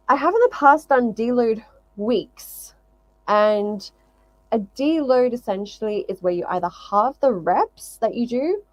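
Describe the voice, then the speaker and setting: A woman's voice: medium pitch, a little mellow, no echo, rough recording. A woman talking casually to viewers as a fitness vlogger, explaining things emphatically and often repeating phrases in lists.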